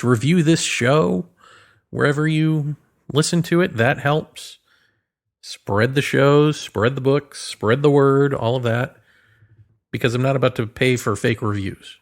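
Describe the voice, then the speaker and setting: A man's voice: medium pitch, a little slow. A man hosting a solo podcast in a casual, rambling way, hesitant as he thinks aloud, with a wry, self-deprecating manner. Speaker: podcast host and author.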